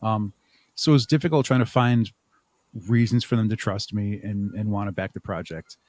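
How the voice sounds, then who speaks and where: A man's voice: fairly low pitch, steady tone, a little mellow, no room sound. Casual, thoughtful man with a dry, close sound. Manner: thoughtful, halting, chuckles while talking. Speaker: podcast guest, audio drama creator. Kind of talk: casual interview conversation.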